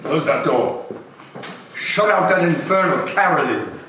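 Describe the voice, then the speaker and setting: A man's voice: on the high side, lively intonation, unhurried, sharp tone. Grumpy old miser played by a man, curt and dismissive, swinging delivery, distant in a very echoey room. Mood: barking orders. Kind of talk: amateur comic dramatic play reading. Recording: very echoey room, distant mic, faint noise.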